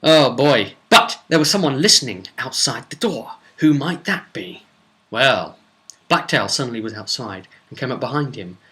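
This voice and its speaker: Male game master, forceful bright voice, rambling and animated, telling a casual session recap. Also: mid-range voice, normal speed. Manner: rambling, animated storyteller acting out snippets of dialogue. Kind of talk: casual recap of a tabletop role-playing session.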